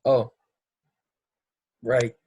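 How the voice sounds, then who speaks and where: A man's voice: drawn-out words, dark tone, dry recording. Blunt young actor with a grudge, played by a man. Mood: casual, aloof. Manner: blunt, casual, clipped. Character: brash, resentful young male actor with a grudge. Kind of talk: play readthrough acting.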